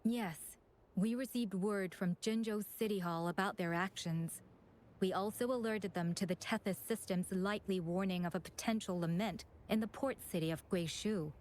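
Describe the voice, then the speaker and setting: Woman acting a polite game admin lady, composed and businesslike, mid pitched voice. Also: moderate pace, a little mellow, a little hiss or hum, close to an everyday voice, low-quality recording. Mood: composed, analytical. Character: composed, courteous female chief administrator of secret organization.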